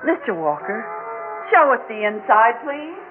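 An earnest mom in an old radio play, very animated, tinny sound. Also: female voice, a little high, unhurried, music in the background. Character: earnest mother pleading for family togetherness. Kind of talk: 1960s radio drama acting.

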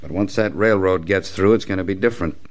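An actor playing a plainspoken, principled small-town lawyer in a 1960s radio western. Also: male voice, low-pitched, fast talker, lightly acted. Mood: earnest, indignant.

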